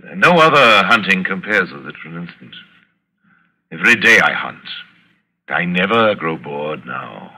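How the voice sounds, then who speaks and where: An older aristocratic big-game hunter in a vintage radio thriller. His low voice swoops widely and cuts through with a sharp, forceful edge. He is suave, deliberate and chilling, relishing every phrase. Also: sing-song, exaggerated voice. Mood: intense, exultant. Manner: suave, deliberate, theatrical, savoring words. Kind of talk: 1940s radio acting. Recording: vintage radio drama broadcast recording.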